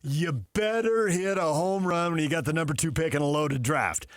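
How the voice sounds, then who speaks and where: A man with a slightly high voice debates as a sports radio host. He talks off the cuff and argues his side playfully. The sound is dry, as in a studio. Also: normal speed, no echo. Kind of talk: unscripted sports banter and debate.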